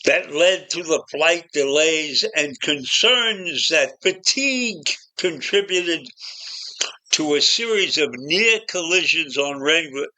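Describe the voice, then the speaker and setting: A man's voice: a little high, sharp tone, rough recording. A man giving informal, opinionated commentary on the news, partly reading from notes, in a blunt, emphatic manner. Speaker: commentator presenting news issues.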